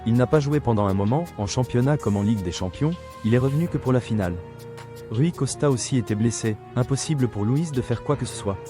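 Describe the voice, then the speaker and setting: A middle-aged man reading a combative, sarcastic rebuttal monologue, lecturing a younger critic. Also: medium pitch. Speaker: video commentator.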